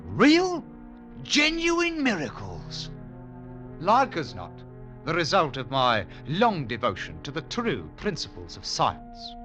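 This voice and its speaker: An actor playing a pompous, excitable working-class Englishman with a Cockney accent, telling his story in old-time radio comedy style, blustering in bad grammar and grand words. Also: on the high side, slow pace, faint music behind, full character voice. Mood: smug, wondering. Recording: vintage 1940s-50s radio recording.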